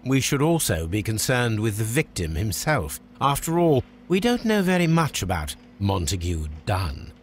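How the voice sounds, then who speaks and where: A Victorian English gentleman detective with a low, very animated voice. He is precise, formal and supremely self-assured, probing as he speaks in the manner of a video game cutscene. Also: normal speed, hardly any pauses, slight background noise.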